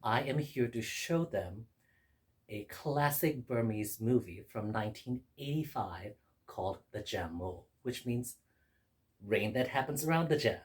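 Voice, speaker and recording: A man from Burma hosting guests at his home, casually explaining a festival with a lively storytelling manner. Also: medium pitch, unhurried. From Mandalay, Burma. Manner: lively storyteller, laughs while telling. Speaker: host. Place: home in Brooklyn.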